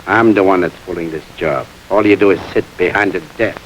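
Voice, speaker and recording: An actor playing a surly, hot-tempered gangster who talks in a blunt, sneering, resentful way, in 1930s crime film style. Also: male voice, low voice, a little fast, slight background noise, close to an everyday voice, rough recording.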